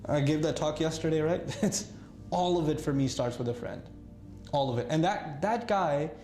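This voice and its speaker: A man born in Germany and raised across Pakistan, Saudi Arabia and New York, telling his life story to an audience in a conversational, animated way. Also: normal speed, roomy sound, soft background music. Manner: conversational, animated, many restarts, rises high when excited. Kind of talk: casual storytelling talk to an audience.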